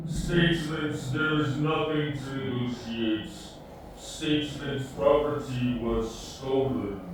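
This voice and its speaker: An actor playing a cold, unyielding alien being that speaks in stilted, clipped, machine-like pronouncements in a science fiction audio drama. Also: male voice, mid-range voice, slow, close to an everyday voice. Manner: stilted, clipped pronouncements prefaced with category labels. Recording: very echoey, distant, loud background noise.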